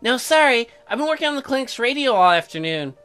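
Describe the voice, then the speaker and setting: A man's voice: very animated, quick pace, pushed and bright, clear, resonant tone, exaggerated voice. A man voicing a young newlywed colonist wife, chirpy but testy, in a visual novel game. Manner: high-pitched girlish put-on, narrating and bickering. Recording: music behind.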